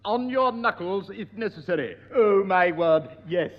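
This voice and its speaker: An actor playing a prim, pompous piano teacher in a 1960s radio comedy. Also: male voice, high voice, animated, unhurried. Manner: pompous, prim, repeats "oh yes". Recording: dull, echoey old radio broadcast.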